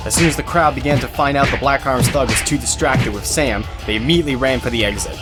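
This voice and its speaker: A man's voice: medium pitch, fast. Male audio drama narrator over music. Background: music, guitar.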